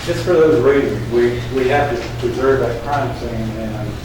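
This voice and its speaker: A soft-spoken man, teaching a class as a sheriff's crime investigator, halting, with many fillers. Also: medium pitch. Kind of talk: classroom talk with slides. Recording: echoey room, mic far off, noisy.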